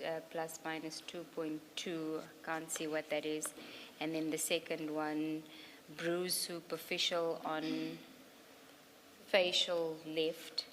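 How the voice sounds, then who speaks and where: A woman doing formal questioning at an inquiry, speaking haltingly as she works through documents. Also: deep voice, fairly bright tone. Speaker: evidence leader questioning a witness at a commission. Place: large hearing room. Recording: echoey hall, clear background noise.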